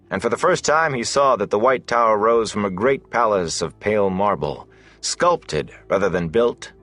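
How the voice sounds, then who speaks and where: A man reading a fantasy audiobook as its narrator, in a measured, deliberate, dramatic storytelling delivery. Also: medium pitch, fairly bright tone.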